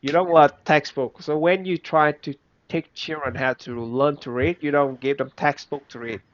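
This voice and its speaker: Young Vietnamese-accented man, halting. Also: on the high side, fairly even intonation, slight background noise. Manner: halting, with many restarts and simple phrasing. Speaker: interviewee. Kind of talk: casual reflective chat. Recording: slightly dull, dry recording.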